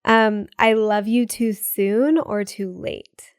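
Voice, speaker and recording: A young woman co-hosting a podcast in casual chat, bubbly and quick with asides, laughing often, sounding a bit sick with a cold. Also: medium pitch, steady tone, clean tone, dry recording, studio quality. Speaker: podcast co-host.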